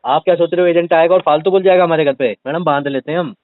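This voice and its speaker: Quick, teasing man on phone. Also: on the high side, steady tone. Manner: teasing, defiant, mock-pleading. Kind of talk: argumentative phone conversation. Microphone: phone call. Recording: muffled, band-limited phone call recording.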